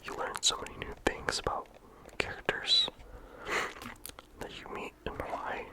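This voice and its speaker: This is a whispered ASMR game review, in a steady voice with hardly any sound behind it. The reviewer rambles casually and leans on "like" between thoughts. The breathy hush never lifts into full voice. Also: measured pace. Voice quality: whispered throughout. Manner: casual rambling with many "like" fillers.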